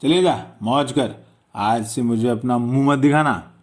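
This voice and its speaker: A man's voice: mid-range voice, unhurried, darkish tone, pitched above the performer's own voice, natural voice. A man voicing a bitter, jealous middle-aged bully in an audio story, sarcastic and dismissive. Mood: scornful anger.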